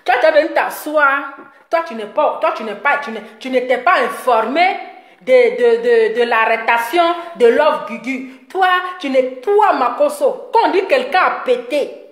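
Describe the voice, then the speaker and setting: A high-voiced woman delivers a rambling, scolding commentary. She mocks her target and laughs often between jabs. Her voice rings in a roomy, echoing space. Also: animated, measured pace. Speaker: online commentator. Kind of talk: ranting commentary video.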